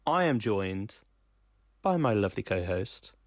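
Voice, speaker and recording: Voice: sits low, very animated, unhurried, no echo, clean studio sound. A podcast host chatting casually, feeling out phrases in a conversational way. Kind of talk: casual chat.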